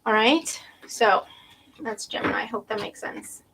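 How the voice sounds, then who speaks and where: A woman's voice: a little high, unhurried, fairly bright tone, a little hiss or hum, poor audio. A woman giving a live tarot reading to viewers, losing her voice with a cold, thinking aloud and often checking in with "right?". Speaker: tarot reader.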